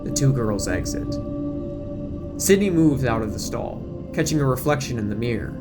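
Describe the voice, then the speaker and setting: A man's voice: mid-range voice, expressive, normal speed. A man giving dramatic scripted narration as the narrator of an audio drama, with music behind him.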